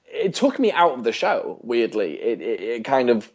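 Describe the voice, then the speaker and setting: A man's voice: medium pitch, sing-song, moderate pace, breathy edge. A man co-hosting a podcast, talking casually in hesitant, stop-start phrases. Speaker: podcast co-host. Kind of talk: casual discussion of a TV show.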